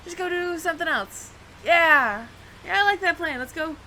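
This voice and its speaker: A woman's voice: extremely high pitch, animated, moderate pace, bright, forceful tone, a little hiss or hum, heavily acted. A woman playing a nervous, evasive alien roommate in an audio roleplay, stammering and over-explaining to the listener. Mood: flustered, pleading.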